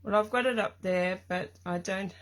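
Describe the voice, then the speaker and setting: A middle-aged Australian woman from Tasmania with a croaky voice, chatting casually and rambling with lots of ums as she hosts a live craft stream from her craft room. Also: mid-range voice, moderate pace.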